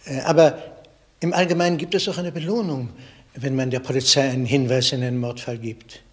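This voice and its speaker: An actor playing a nervous, obsequious informant in a German crime radio play, hesitant and deferential. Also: male voice, medium pitch, steady tone, a little fast, a crowd in the background, lightly acted. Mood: eager, deferential. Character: nervous, obsequious male informant and would-be blackmailer.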